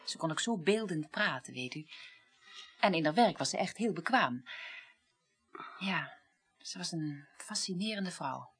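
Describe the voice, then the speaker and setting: A woman's voice: sits low, lively intonation, measured pace, pauses often, fairly bright tone, slightly rough, heavily acted. An actress playing a detached, wry female former reporter in a radio play, speaking articulately and dryly. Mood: musing, unconcerned. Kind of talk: 1980s Dutch radio play acting.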